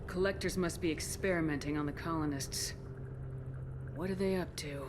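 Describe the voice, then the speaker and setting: An actress playing a resolute military commander in a video game, calm, direct and authoritative. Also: female voice, sits low, moderate pace, background noise, natural voice. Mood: commanding.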